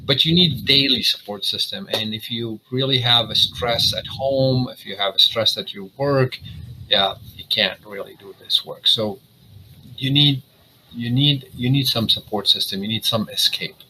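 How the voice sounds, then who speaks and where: A middle-aged man from Syria, a cardiologist guest, talking earnestly in a halting way with many fillers and restarts. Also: medium pitch, pushed and bright, a little hiss or hum, poor audio. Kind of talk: casual interview talk.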